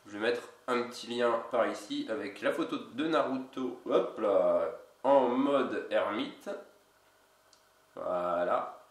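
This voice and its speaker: A man with a cold, an energy healer giving a casual instructional video in a slow, halting way. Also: medium pitch, recorded from a distance. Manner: slow, halting, with many pauses. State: has a cold. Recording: roomy echo, away from mic.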